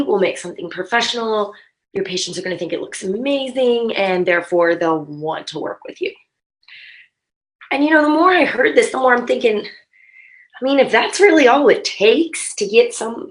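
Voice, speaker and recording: A woman with a raspy, hoarse voice from being sick, talking casually to her viewers in an upbeat, chatty way full of ums and you-knows. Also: mid-range voice, fairly bright tone, low-quality recording. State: hoarse from illness. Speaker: physical therapy marketing vlogger. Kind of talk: casual talk to camera. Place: at home late at night.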